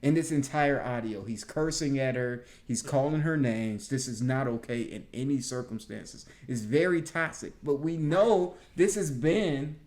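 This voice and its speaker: A woman giving casual reality TV commentary as a co-host, in a measured, wry manner. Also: a bass voice, a little mellow.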